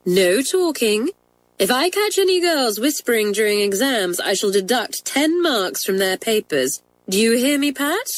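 A woman's voice: medium pitch, very animated, moderate pace, dry recording. A woman voicing a strict but fair schoolmistress in a storybook, firm and precise. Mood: stern. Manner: firm, precise, scolding authority.

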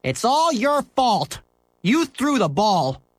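A shrill young boy with a demanding, accusing edge and a voice pitched far above the reader's own, as a man puts him on for a storybook. The sound is dry and close, with no room echo. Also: animated, a little fast. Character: bossy, angry young boy.

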